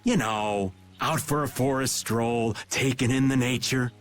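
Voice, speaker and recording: A man's voice: mid-range voice, measured pace, natural voice. A man voicing a shady, jovial middle-aged drifter in a video game, theatrical and teasing with feigned innocence. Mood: playful wheedling. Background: game music.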